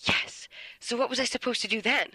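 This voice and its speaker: Bright, exasperated teenage girl. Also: on the high side, a little fast, slightly rough, no room sound, rough recording. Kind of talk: naturalistic audio drama dialogue.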